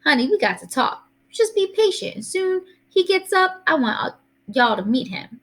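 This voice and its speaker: A woman's voice: very high-pitched, big pitch swings, normal speed, fairly bright tone, pitched above the performer's own voice, full character voice. A woman voicing a small, feisty, loving old grandmother with a Southern US accent and a folksy, commanding manner in a storybook reading. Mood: coaxing.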